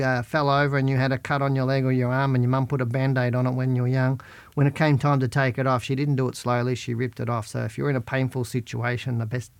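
A middle-aged man with a croaky voice from a head cold, hosting a podcast and casually answering listener questions while reading stock charts. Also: medium pitch, dry recording. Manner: chatty, plain-spoken, talks while reading charts. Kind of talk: casual market commentary.

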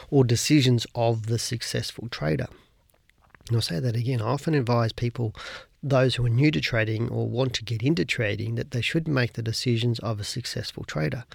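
A man talks through a solo podcast, coaching his listeners with stacked rhetorical questions. His voice sits in a middle register with a gravelly, slightly croaky edge. The sound is dry and close, with no room around it. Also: mid-range voice, no room sound. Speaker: podcast host. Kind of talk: casual solo podcast advice.

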